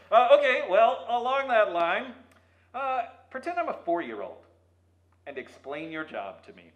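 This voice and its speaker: High-pitched, lively man hosting through a megaphone. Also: unhurried. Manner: jokey, shouting through a megaphone. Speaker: host, museum staff. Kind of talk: playful interview. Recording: echoey room, megaphone.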